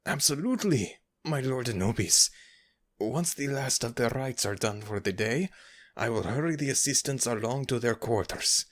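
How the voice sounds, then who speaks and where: A man's voice: medium pitch, lively intonation, normal speed, pitched above the performer's own voice, close to an everyday voice. A man voicing a dutiful, eager young male temple servant in an ASMR audio roleplay, speaking with deference.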